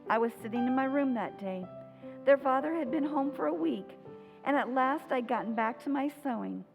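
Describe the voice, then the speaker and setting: A woman playing a loving, wistful mother who narrates in a tender, measured old-time radio drama style. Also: high-pitched, animated, creaky, music in the background.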